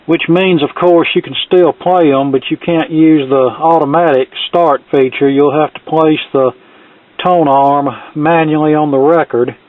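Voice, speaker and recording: A man's voice: a little high, no room sound. A man talking casually through a hands-on hobby project in a folksy, plain-spoken way, like someone showing his work at the bench. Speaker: hobbyist presenting a repair project. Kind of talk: casual hands-on tutorial talk. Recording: thin, phone-like band-limited sound.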